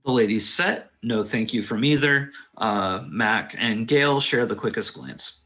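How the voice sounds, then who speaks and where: A man's voice: fairly low pitch, expressive, measured pace, sharp tone. A man reading screenplay stage directions as a matter-of-fact narrator at a table read.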